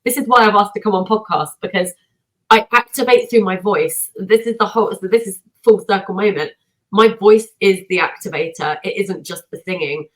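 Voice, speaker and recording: A woman singer and vocal coach, a guest on a podcast, telling her story in an energetic, self-deprecating way. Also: medium pitch, moderate pace, low-quality recording. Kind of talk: casual podcast conversation.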